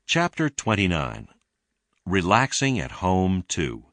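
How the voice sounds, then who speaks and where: A man with a deep voice narrates a language lesson. His delivery is slow and deliberate, with animated intonation, and the sound is close and dry. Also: dry recording. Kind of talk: scripted narration, read-along language lesson.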